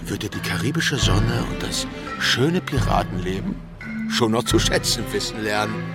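An actor playing a swaggering, roguish pirate captain in a German radio drama, with a commanding, theatrical delivery. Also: male voice, lowish voice, expressive, moderate pace. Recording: studio radio drama with loud music.

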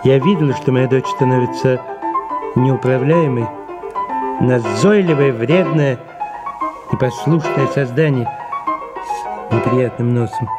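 A man's voice: medium pitch, measured pace, long pauses, over loud music, lightly acted. A man acting a haughty middle-aged French mother in an audio drama, scolding and full of melodramatic, self-pitying indignation. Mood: aggrieved, cutting.

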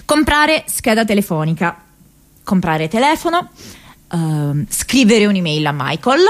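A young woman activist speaking her diary aloud in a radio play. She is earnest and searching, with a mid-pitched voice. Her delivery is conversational and reflective, with hesitations and repeated words as she feels her way through her thoughts. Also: big pitch swings, measured pace. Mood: musing, casual. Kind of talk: radio theatre monologue.

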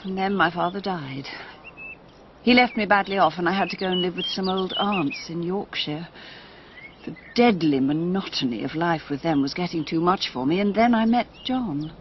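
An aloof, restless British gentlewoman played by a woman in a radio drama. She speaks in a measured, dramatic way with guarded poise. The sound is dulled, with faint noise behind. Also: lowish voice, close to an everyday voice. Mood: wistful. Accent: British English. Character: aloof, restless, unhappily married English-Russian gentlewoman. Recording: muffled radio recording with noise, birdsong.

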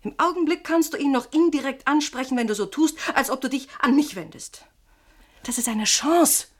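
A woman's voice: sits high, normal speed, close to an everyday voice. An actress playing a shrewd, insistent woman in a German radio play, pressing her point with persuasive, pointed irony. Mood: urgent, persuasive.

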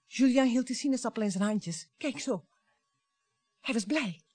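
An actress playing a cool, cutting French bourgeois wife and regretful mother in a radio play, with pointed, deliberate phrasing. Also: a little high, warm tone, dry recording, lightly acted. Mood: wistful, regretful.

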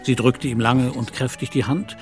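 A male narrator tells a literary story for an audio drama. His voice sits at a medium pitch and his delivery is measured and articulate. Music plays clearly behind him. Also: steady tone.

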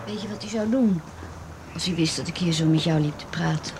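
A woman's voice: fairly low pitch, a little fast, lightly acted. A woman playing a frightened, wary teenage girl in a radio drama, speaking in a hesitant, faltering way. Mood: bitter, alarmed. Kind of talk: naturalistic radio drama acting. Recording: noisy old radio drama recording.

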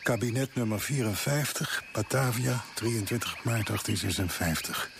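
A man's voice: fairly low pitch, normal speed, vocal fry, background noise. A man playing a deferential, anxious junior colonial official in a Dutch radio play. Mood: formal, subdued.

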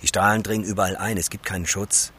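Gruff cynical treasure hunter, low voice, brusque, radio drama, slight background noise. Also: male voice, level tone, quick pace, sharp tone. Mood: dismissive. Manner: brusque, dismissive.

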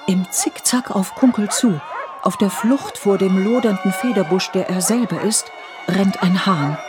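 A woman narrating a scripted literary radio play in measured, poetic storytelling. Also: medium pitch. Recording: studio radio play with music underneath.

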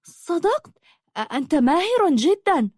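A woman's voice: high-pitched, lively intonation, normal speed, a little mellow, dry recording, clean studio sound, voice pushed up. A woman voicing a sad, lonely young princess in a storybook, hesitant and plaintive. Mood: amazed.

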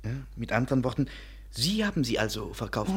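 A man playing a touchy, self-important Parisian painter in a 1950s German radio drama, pompous in manner, with a gravelly, husky voice. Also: mid-range voice, sing-song, brisk. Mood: smug. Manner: haughty, pompous.

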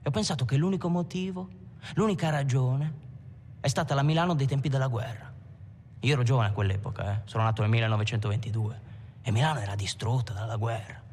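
An actor playing a Milanese bandit who looks back on his criminal past in a deliberate, confessional film monologue. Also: mid-range voice, a little mellow, in a large hall, slight background noise, lightly acted. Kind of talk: dramatic monologue in a docudrama film.

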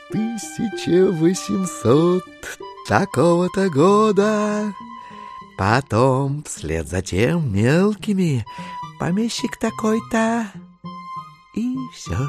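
An actor playing a self-satisfied middle-aged gentleman schemer in an audio drama, muttering to himself as he savors each word. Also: on the high side. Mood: pleased, methodical.

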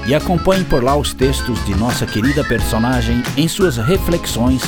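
A male narrator of a radio serial speaks with theatrical, teasing flair. His mid-pitched voice swings widely in melody, with clear music running behind. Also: lively intonation, moderate pace. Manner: teasing announcer delivery.

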